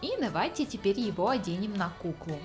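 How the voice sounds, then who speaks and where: A woman's voice: mid-range voice, animated, brisk, faint music behind. A woman hosting a craft tutorial, explaining each step in scripted narration. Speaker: craft channel host.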